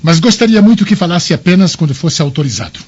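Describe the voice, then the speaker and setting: A rather high, husky voice for an authoritative Roman governor. He speaks like a solemn orator weighing a decision, with wide swings of pitch, in a dull-sounding radio drama. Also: animated, fast, a little mellow. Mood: deliberating, authoritative.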